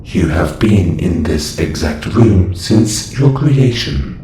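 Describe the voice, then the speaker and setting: A man's voice: sits low, expressive, takes it slowly, mellow. A man voicing a clinical, detached observation robot in an audio roleplay, speaking in a stilted, formal, matter-of-fact way. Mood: detached, instructing. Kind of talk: scripted audio roleplay monologue. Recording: roomy echo with faint noise.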